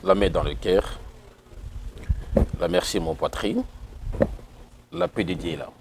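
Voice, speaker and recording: A man from Kongo Central with a Congolese accent, answering interview questions in a formal, solemn manner with filler pauses. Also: low-pitched, very noisy. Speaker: interview guest, association president.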